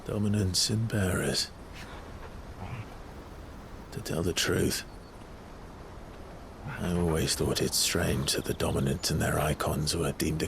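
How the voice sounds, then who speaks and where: A man playing a brooding, earnest noble warrior in a video game, talking in a quiet, reflective way. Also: rumbling low, gravelly, noisy background, heavily acted. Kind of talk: dramatic video game cutscene acting.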